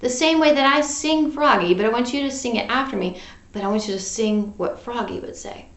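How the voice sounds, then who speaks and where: A woman's voice: on the high side, fairly quick, fairly bright tone, roomy sound. A woman reading a picture book to children in a playful, interactive way, coaxing listeners along. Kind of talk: animated children's read-aloud with sung refrains and asides.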